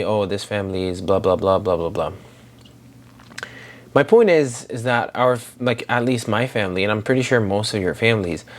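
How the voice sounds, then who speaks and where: A man in his twenties, originally from Syria, talking reflectively in a personal daily vlog, rambling with many fillers and restarts. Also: on the low side. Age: 20s. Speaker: daily vlogger.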